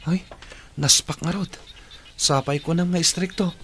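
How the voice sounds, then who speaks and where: An actor playing a dutiful, respectful young working student in a radio drama, with an Ilocano accent. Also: male voice, fairly high pitch, steady tone, a little slow, fairly bright tone, slight background noise. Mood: curious, worried.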